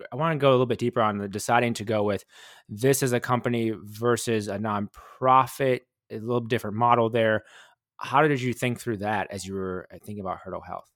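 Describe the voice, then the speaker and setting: A man's voice: lowish voice, fairly bright tone, dry recording, studio quality. A man hosting a conversational interview podcast, asking rambling, exploratory questions. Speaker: podcast host interviewing a guest.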